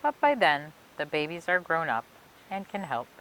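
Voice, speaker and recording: A woman reading a children's picture book aloud for a library storytime, expressively and phrase by phrase with emphatic pauses. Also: deep voice, very animated, a bit bright, poor audio. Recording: clear background noise and hiss.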